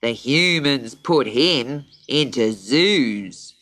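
Woman playing a slow, solemn pelican prosecutor, storybook reading, lively pitch. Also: exaggerated voice. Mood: grave. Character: pompous male pelican prosecutor, slow and grave.

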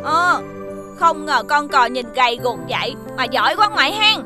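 A woman's voice: high-pitched, lively intonation, moderate pace. A woman voicing an eager, plucky small child hero in a children's cartoon. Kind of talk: children's animated cartoon acting. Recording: music behind the voice.

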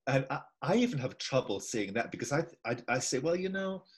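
A man's voice: mid-range voice, expressive, brisk, a little hiss or hum. An older man with a Scottish accent interviewing a guest over a video call from home, musing and repeating himself as he thinks aloud. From Scotland. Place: home room indoors.

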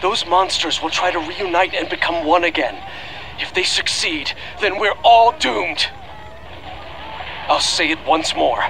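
A middle-aged actor playing a remorseful scientist father in an anime game, pleading gravely and deliberately. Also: a little high, bright, forceful tone, a little hiss or hum, full character voice, rough recording. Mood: desperate warning. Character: desperate, remorseful scientist father recording a last message.

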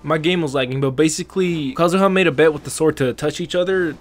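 A man's voice: a little high, quick pace, over music. A man doing casual, joking gameplay commentary with plenty of slang and swearing, as a streamer. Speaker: gaming streamer.

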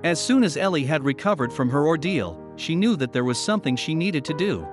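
Lively higher-pitched man narrating. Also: a little fast. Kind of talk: scripted story narration. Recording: voice-over with music bed. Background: music.

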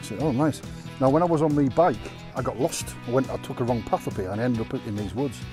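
A man's voice: mid-range voice, lively intonation, fast talker. Joking man outdoors. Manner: joking, swears freely. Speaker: vlogger. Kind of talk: casual banter on a hike. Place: outdoors in a riverside wood. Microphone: handheld action camera. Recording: background guitar music.

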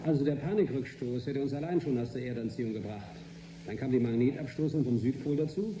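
An actor playing a gruff, matter-of-fact spaceship propulsion expert in a German science-fiction radio drama, explaining technical matters briskly. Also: male voice, medium pitch, dull audio, close to an everyday voice. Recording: roomy echo with faint background noise.

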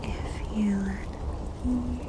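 A woman playing a needy, teasing succubus demon girl in an ASMR roleplay, speaking in a soft, breathy whisper with a coy manner. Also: mid-range voice, steady tone, extremely slow. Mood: coy, intrigued.

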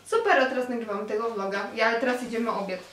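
Woman, 20s, from Poland. Gushing casual vlogger on a close handheld camera, slightly high voice, roomy audio. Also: a bit bright. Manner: chatty, gushing, quick asides to viewers. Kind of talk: casual vlog chat to camera. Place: small bedroom at home. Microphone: handheld camera held close.

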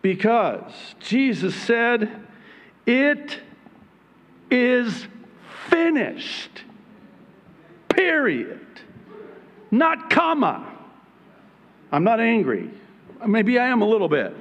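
A man in his fifties with an Arabic accent, preaching a sermon from a church pulpit, joking and building to emphatic, near-shouted points. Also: very high voice, big pitch swings, drawn-out words, long pauses. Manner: humorous, rising to emphatic near-shouting peaks. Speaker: pastor. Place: church stage behind a pulpit. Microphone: pulpit microphone.

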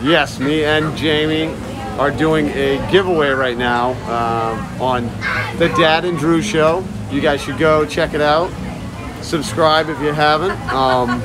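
A man talking loudly and casually to viewers as he live-streams while walking around. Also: fairly high pitch, slow pace, music in the background. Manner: loud, chatty, talks to viewers and chat. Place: outdoors at a busy shopping area, later indoors. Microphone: phone held while walking. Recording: phone audio with crowd noise. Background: crowd chatter.

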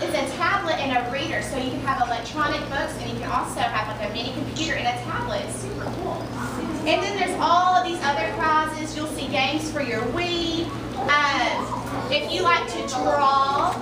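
A young woman teacher speaking into a handheld mic at a school assembly, explaining a reading program and asking the children questions in an upbeat way. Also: sits high. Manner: upbeat, addresses kids with questions. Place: large school gym in front of students. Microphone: handheld mic, recorded by far camera. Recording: echoey gym, from far off, noisy.

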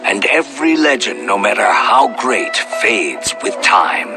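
Slow, solemn legend storyteller. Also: male voice, on the low side, sing-song, gravelly, heavily acted. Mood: solemn, grand. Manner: grand, solemn, measured. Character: grand, solemn male storyteller of heroic legends. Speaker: storyteller narrator. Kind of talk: scripted fantasy prologue narration. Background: loud music.

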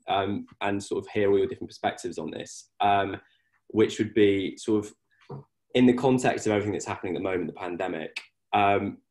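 A man's voice: on the low side, a little mellow. A young man in his twenties moderating a panel over a video call from his living room, asking loose, hedging questions full of fillers.

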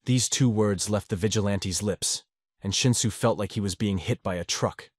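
A man narrating a fanfiction audiobook with a steady, measured reading. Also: on the low side, breathy edge, dry recording, clean studio sound.

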